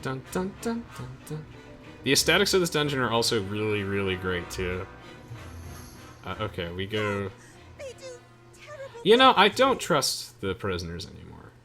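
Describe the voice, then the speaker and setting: A man's voice: fairly low pitch, big pitch swings, normal speed, long pauses, sharp tone. A young man giving casual, chatty gameplay commentary, explaining things in informal slang as he hosts a let's play. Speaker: gamer hosting a let's play. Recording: game music behind the voice. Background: video game music.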